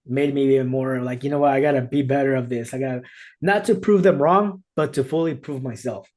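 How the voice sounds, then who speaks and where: A man's voice: mid-range voice, fairly quick, a little mellow. A man hosting a podcast over a video call, talking in a casual, upbeat way and often laughing or repeating himself. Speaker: podcast host. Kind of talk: podcast interview. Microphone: remote video call.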